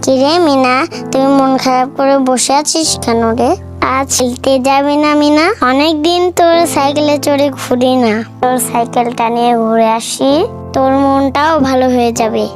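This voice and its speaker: A woman as a high-voiced caring tiger cub boy. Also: steady tone, normal speed, music in the background, lightly acted. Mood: coaxing. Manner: coaxing, solicitous. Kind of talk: children's cartoon voice acting.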